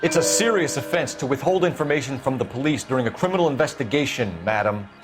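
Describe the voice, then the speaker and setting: A man's voice: mid-range voice, lively intonation, fast, music in the background, close to an everyday voice. A man playing a stern 1940s detective. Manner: formal, clipped, dry. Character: serious, reserved 1940s police detective man. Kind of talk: video game cutscene acting.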